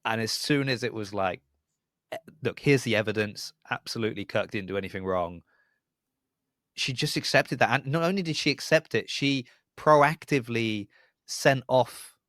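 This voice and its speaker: A man's voice: mid-range voice, normal speed, a bit bright, no room sound, studio quality. A man hosting a podcast in casual fan discussion, jokey and given to voicing imagined remarks. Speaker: podcast host.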